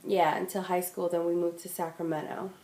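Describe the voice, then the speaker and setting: A woman's voice: fairly low pitch, little pitch movement, fairly quick, warm tone. Casual young woman in a roomy room. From Philippines. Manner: casual, chatty, with fillers like "um" and "like". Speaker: interviewee. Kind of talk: oral history interview. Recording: roomy echo.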